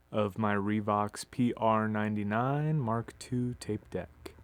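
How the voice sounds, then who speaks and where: A man's voice: mid-range voice, a little mellow, no echo. A man who works as a recording engineer, casually narrating a hands-on demonstration in a studio, recorded on a phone. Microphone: phone video microphone.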